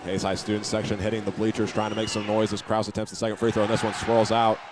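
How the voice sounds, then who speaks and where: A man with a lowish, fairly flat voice calls a live high school basketball game. He narrates each play methodically as the announcer. Clear gym noise and bouncing balls sit behind him. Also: fast.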